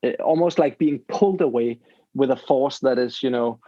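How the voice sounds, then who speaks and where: A man's voice: medium pitch, normal speed, mellow, no echo. A man, a podcast guest telling a story casually in English, searching for words and restarting his sentences. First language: Danish. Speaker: podcast host. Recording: dull lo-fi dry sound.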